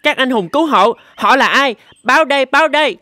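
A man voicing a street newspaper seller calling out loudly in a cartoon. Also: extremely high pitch, expressive, a little fast, dry recording, studio quality, heavily acted. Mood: loud hawking. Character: street newspaper seller hawking the morning news. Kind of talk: cartoon dubbing.

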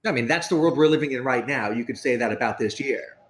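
A man giving conversational commentary as a panelist on a video call, in halting, piecemeal phrases. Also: mid-range voice. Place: home room on a video call. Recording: faint ambient noise on video call.

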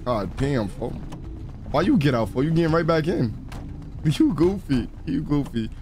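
A man's voice: on the high side, animated, moderate pace, darkish tone. A man streaming a role-play game, chatting casually in slangy street banter.

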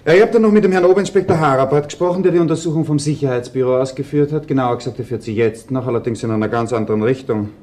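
An actor playing a factual, thorough police assistant with an Austrian accent, giving a report in a crime radio play. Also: male voice, mid-range voice, racing, hardly any pauses, darkish tone, natural voice. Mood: businesslike. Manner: matter-of-fact, thorough. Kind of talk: German-language crime radio play acting.

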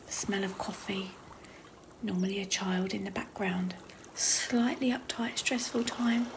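A woman leading a guided visualisation meditation in a soft, breathy, half-whispered voice with slow, unhurried phrasing. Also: medium pitch. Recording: clearly noisy, trickling water ambience.

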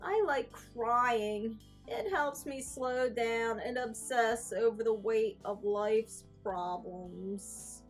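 A droopy, mournful cartoon emotion acted out by a woman speaks from a children's storybook. The voice is high and very slow, dragging out its gloom, with clear music playing behind it. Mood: weary, mournful. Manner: mournful, sighing, drawn-out delivery. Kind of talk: children's picture book read-aloud.

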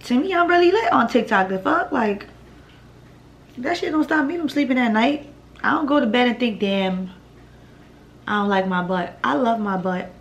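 A woman's voice: expressive, moderate pace, long pauses, dull audio. Blunt, foul-mouthed young woman vlogger. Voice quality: deep voice. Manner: blunt, profane, laid-back with laughs. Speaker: vlogger answering viewer questions. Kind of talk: casual Q&A vlog. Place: living room at home, indoors.

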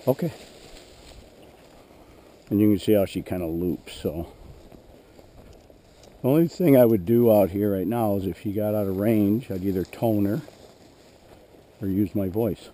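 A man with a hoarse voice, narrating plainly as he trains a dog in an open field, recorded on a head-mounted action camera. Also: fairly low pitch, measured pace, pauses often, dark tone. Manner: plain, matter-of-fact, clears throat often. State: hoarse, losing his voice. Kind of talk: narrating a hunt while commanding a dog. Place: open grassy field outdoors.

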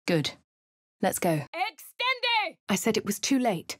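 A posh English adventurer heroine. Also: female voice, fairly high pitch, wildly swooping pitch, slow, a bit bright, no echo, clean studio sound, full character voice. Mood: incanting. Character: posh young female adventurer hero. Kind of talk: game voice lines and narration.

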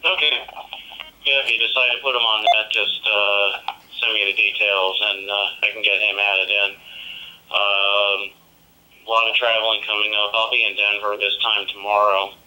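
A man's voice: on the low side, little pitch movement, normal speed, bright, forceful tone, low-quality recording. A man chatting casually as an amateur operator over a digital two-way radio, heard from a handheld's speaker. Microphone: voice played through portable radio speaker.

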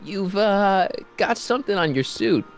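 A man's voice: high-pitched, lively intonation, measured pace, vocal fry, full character voice. An actor playing an earnest, worried teenage boy and loyal friend in a video game, casual and hesitant. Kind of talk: animated video game acting.

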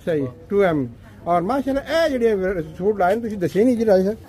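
A man's voice: sits high, lively intonation, normal speed, dark tone. A man who runs a shopping vlog. He tours the stalls of a busy indoor bazaar, talks to the sellers and keeps saying mashallah. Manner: upbeat, says mashallah often. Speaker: vlogger touring shops. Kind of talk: walkaround shopping vlog chat.

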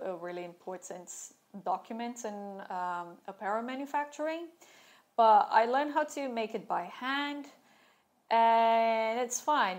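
Slow-talking wry woman vlogger. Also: medium pitch. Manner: candid and wry, with sarcastic asides. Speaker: vlogger sharing her fashion school experience. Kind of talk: casual talking-head vlog. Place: small plain room indoors, seated at a desk.

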